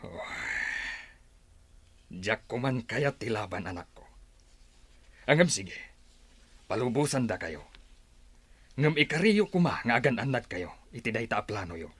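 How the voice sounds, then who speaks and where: A man playing a calm, cautious older father in a radio drama, speaking in a measured, gentle way. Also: medium pitch, breathy edge, no room sound. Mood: concerned, reluctant.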